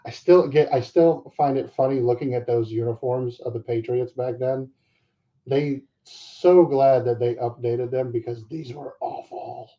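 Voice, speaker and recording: A man with an average-pitched voice co-hosts a livestream. He talks casually while opening cards. The sound is dull and slightly muffled. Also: steady tone, a little slow. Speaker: livestream co-host.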